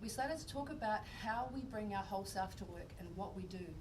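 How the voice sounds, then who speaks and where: A woman reads a prepared speech in an earnest, emphatic way. She sounds distant from the mic, with clear noise running behind her. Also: mid-range voice, expressive, brisk. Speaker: speaker, singer-songwriter. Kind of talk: prepared speech read aloud. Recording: distant mic in a noisy room.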